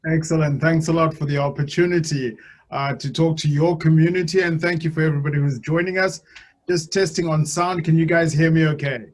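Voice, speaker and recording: A man from Zimbabwe speaking as the guest on a webinar, with an upbeat, energetic manner over a video call. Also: on the high side, brisk. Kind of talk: webinar presentation.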